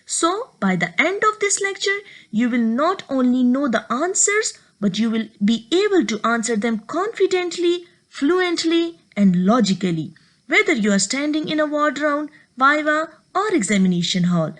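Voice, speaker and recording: Lady doctor teaching a lecture, high voice, goes up and down a lot. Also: moderate pace. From Pakistan. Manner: steady explaining, step by step. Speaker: medical lecturer.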